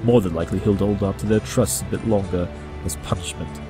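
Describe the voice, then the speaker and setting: An actor playing a brilliant, smug pony detective, speaking in a measured, condescending manner in an audio drama. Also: male voice, low-pitched, fairly even intonation, music in the background, natural voice. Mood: smugly pleased.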